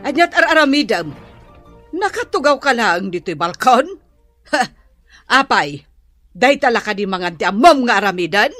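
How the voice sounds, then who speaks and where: A woman's voice: on the high side, sing-song, normal speed, pauses often, fairly bright tone, no echo, soft background music. A woman playing a stern, nagging middle-aged mother in a radio drama, with an Ilocano Filipino accent. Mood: scolding.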